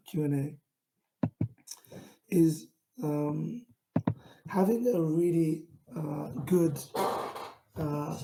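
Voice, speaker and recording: A man with a London accent giving an online talk to camera, speaking in a steady, self-described monotone with frequent fillers and restarts. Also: a little high, vocal fry, noisy background. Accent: London English. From England. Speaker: founder giving a pitching talk.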